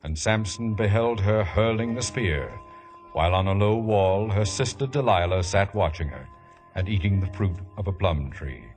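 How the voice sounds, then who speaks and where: An actor playing a solemn biblical storyteller, narrating scripture in scripted 1950s radio style. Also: male voice, low voice, normal speed, a little mellow, faint music behind, lightly acted. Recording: vintage 1950s radio broadcast.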